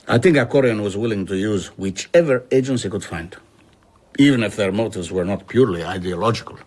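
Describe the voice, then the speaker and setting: An actor plays a reflective middle-aged traveller in a radio drama. His voice is low and husky, and he tells his past with measured regret. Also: darkish tone, close to an everyday voice. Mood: rueful, reflective. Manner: measured, rueful storytelling. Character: reflective older traveller recounting his rebellious past, rueful.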